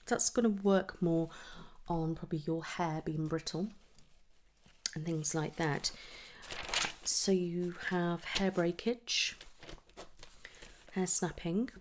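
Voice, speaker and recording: A soft-spoken woman in an ASMR medical roleplay, gently asking questions in a breathy voice that often slips towards a whisper. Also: fairly low pitch, steady tone, a little hiss or hum. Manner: gentle, unhurried questioning with pauses for answers. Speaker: ASMR performer playing a trichologist.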